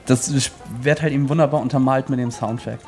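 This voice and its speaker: A man's voice: medium pitch, steady tone, fast, darkish tone, soft background music. Man, keen chat about games. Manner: enthusiastic, hesitant fillers. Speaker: guest co-host, studio editor.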